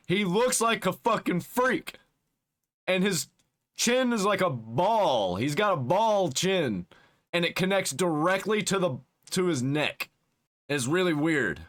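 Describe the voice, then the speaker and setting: Casting note: male reaction host, mocking and profane, a bit high-pitched, dips into a put-on low voice. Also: fairly bright tone. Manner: mocking, swings between a squeaky high register and a put-on deep voice; swears freely, reads search results aloud. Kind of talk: casual reaction commentary.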